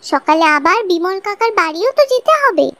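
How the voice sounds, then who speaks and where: A woman's voice: very high-pitched, animated, fairly quick, fairly bright tone, no room sound. A woman voicing a caring, earnest young granddaughter in a cartoon, with music in the background. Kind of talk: cartoon dialogue.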